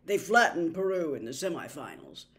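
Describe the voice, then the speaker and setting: A woman voicing a blunt young man, a grumbling sports fan in a storybook, loud and emphatic. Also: deep voice, sing-song, moderate pace.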